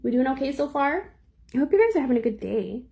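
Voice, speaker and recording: Young woman nail educator, slightly squeaky, a bit gravelly, chatty live-tutorial delivery. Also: big pitch swings, quick pace, warm tone. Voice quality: a little squeaky. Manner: chatty, reads and answers viewer comments.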